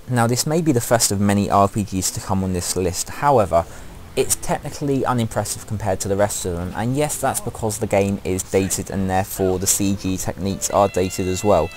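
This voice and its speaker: A man's voice: fairly low pitch, slight background noise. A man giving scripted opinion commentary as the presenter of a video game countdown. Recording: music behind the voice. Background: loud music.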